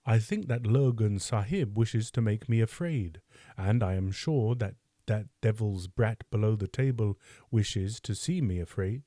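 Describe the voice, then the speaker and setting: A man voicing a proud, quick-tempered teenage boy in an audiobook, speaking in indignant, boastful outbursts. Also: on the low side, expressive, dark tone, dry recording, studio quality. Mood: uneasy. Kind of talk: audiobook character voice.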